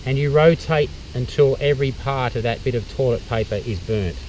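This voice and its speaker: A man's voice: medium pitch, fairly quick, darkish tone. Australian man teaching from the bush. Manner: practical, explaining step by step with fillers. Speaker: bushcraft instructor and tour guide. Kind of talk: outdoor demonstration to camera. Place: eucalyptus forest outdoors. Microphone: camera mic from a few metres away. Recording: distant outdoor camera audio, faint background noise.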